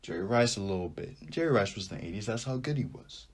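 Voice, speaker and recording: A young man hosting a sports podcast, talking casually and rambling with animated asides as he thinks out loud. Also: lowish voice, quick pace. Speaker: podcast host. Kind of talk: casual sports talk monologue.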